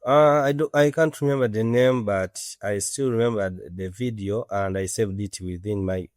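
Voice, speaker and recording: A man in his thirties with a Ugandan accent, answering as an interview guest in a halting, earnest way. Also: lowish voice, a little mellow, no echo, studio quality. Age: 30s. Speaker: interview guest, orphanage director.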